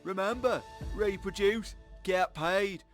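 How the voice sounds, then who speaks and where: Patriotic government announcer, declamatory, emphatic, raised pitch, propaganda radio spot. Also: male voice, takes it slowly, fairly bright tone, faint music behind. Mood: rousing, official.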